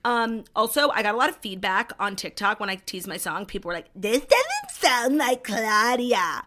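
A woman's voice: a little high, sing-song, moderate pace, background noise. A woman co-hosting a podcast in casual chat, blunt and teasing, swearing freely. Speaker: podcast co-host.

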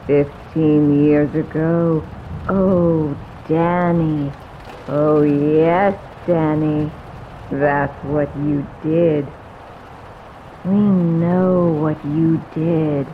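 A woman voicing the vengeful ghost of a murdered woman, speaking in a slow, singsong, taunting way. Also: deep voice, highs cut off, slight background noise. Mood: menacing. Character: dead female victim ghost, taunting, vengeful. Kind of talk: horror audio drama.

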